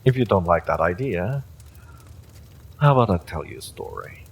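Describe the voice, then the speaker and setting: An actor playing an evil male sorcerer, smugly polite and sadistic, in a slow, theatrical audio drama full of menace. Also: sits low, huge pitch swings, vocal fry, noisy background, full character voice. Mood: sadistic relish. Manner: slow, deliberate, theatrical threatening delivery. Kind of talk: dramatic audio roleplay monologue.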